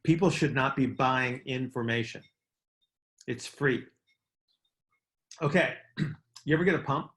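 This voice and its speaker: A man's voice: mid-range voice, measured pace, long pauses, fairly bright tone. A middle-aged man hosting a casual coaching call, explaining emphatically, repeating key words and checking in with "right?". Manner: explanatory, emphatic word repetition, frequent "right?" check-ins.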